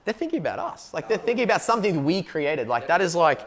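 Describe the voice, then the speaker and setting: A man's voice: a little high, big pitch swings, fast talker, fairly bright tone. An Australian man, a business co-founder, talking casually in an interview and filling his speech with "like" and "you know what I mean". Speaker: company co-founder, guest.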